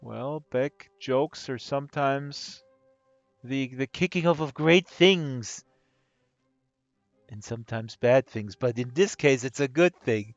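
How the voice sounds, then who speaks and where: A man with a hoarse voice giving casual, excitable live-stream commentary, rambling and gushing with amazement. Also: medium pitch, takes it slowly, no room sound. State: hoarse from talking all day. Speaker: live streamer, virtual world tour guide. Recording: dull lo-fi stream audio with music underneath. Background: music playing.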